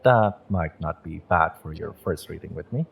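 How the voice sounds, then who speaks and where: A man acting a gentle, courteous witch who runs a magick shop and reads tarot, speaking politely and a little hesitantly in a roleplay audio. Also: low-pitched, moderate pace, vocal fry, a little hiss or hum. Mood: attentive, slightly shy. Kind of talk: roleplay audio, acted monologue to the listener.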